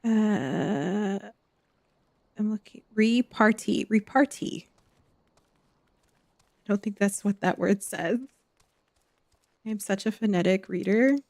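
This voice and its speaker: A woman's voice: mid-range voice, gravelly, a little mellow, no echo. A woman giving casual live game commentary, talking to herself as she thinks aloud. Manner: talks to self, thinking aloud. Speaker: game streamer. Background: music.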